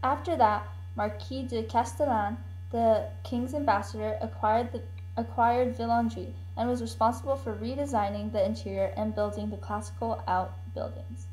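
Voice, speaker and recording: A woman's voice: on the high side, fairly even intonation, normal speed, a little mellow, close to an everyday voice, poor audio. A woman playing an enthusiastic child tourist telling of a family trip in a scripted puppet show. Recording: faint hum and noise.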